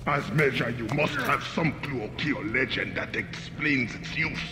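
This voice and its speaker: A man's voice: mid-range voice, measured pace, close to an everyday voice. Man acting an insistent interrogator, echoing hall. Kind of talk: dramatic game cutscene acting. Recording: echoey hall, music behind.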